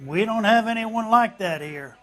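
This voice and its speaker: An actor playing a wary, unhelpful older shopkeeper, guarded and curt. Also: male voice, sits high, moderate pace, pushed and bright, faint music behind.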